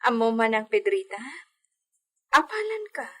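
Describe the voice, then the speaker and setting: A woman's voice: on the high side, big pitch swings, measured pace, bright, forceful tone, exaggerated voice. A woman playing an inquisitive young lady of the house in a radio drama. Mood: probing, assured. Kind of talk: Ilocano radio drama acting.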